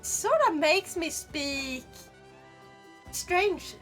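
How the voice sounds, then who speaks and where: A woman with a Swedish accent, streaming and chatting casually with her live chat in a rambling, animated way, laughing often. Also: extremely high pitch, very slow, faint music behind. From Sweden.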